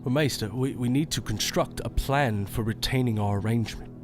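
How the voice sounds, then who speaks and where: An actor playing a nervous, earnest young royal sheriff who speaks formally and haltingly, full of self-doubt. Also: male voice, medium pitch, music in the background, lightly acted. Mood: deferential. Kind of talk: audio drama acting.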